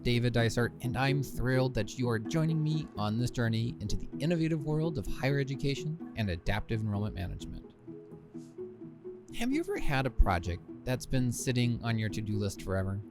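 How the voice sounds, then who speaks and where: A man hosting a podcast and reading aloud from a book he wrote, in a scripted style with wry, self-deprecating touches. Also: mid-range voice. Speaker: podcast host and author.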